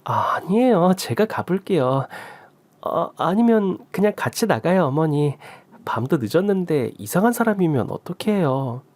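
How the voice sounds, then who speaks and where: A woman's voice: fairly low pitch, big pitch swings, moderate pace, darkish tone, no room sound, voice pushed up. A man voicing a polite, earnest daughter-in-law of forty who gets easily flustered, in a radio story. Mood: eager polite. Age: 40s.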